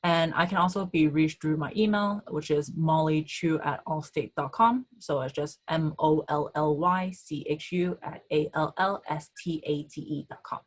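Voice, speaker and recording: Low-voiced woman answering insurance questions. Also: normal speed, hardly any pauses. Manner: hesitant, many ums and uhs. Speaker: insurance agent. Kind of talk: answering questions in an online webinar. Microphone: video call.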